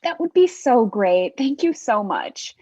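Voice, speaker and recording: An entitled middle-aged woman shopper played by an actress, her high voice rough at the edges and swooping with disdain. She is pointedly polite and demanding, a comedy Karen heard over slightly muffled audio. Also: big pitch swings, unhurried, warm tone, dry recording, heavily acted. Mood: politely demanding. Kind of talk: comedy script table read. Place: remote virtual table read. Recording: muffled video-call audio.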